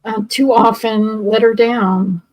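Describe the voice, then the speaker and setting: A woman co-hosting a casual podcast review chat, giving thoughtful, measured opinions. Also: on the high side, fairly even intonation, a little mellow, no room sound, poor audio.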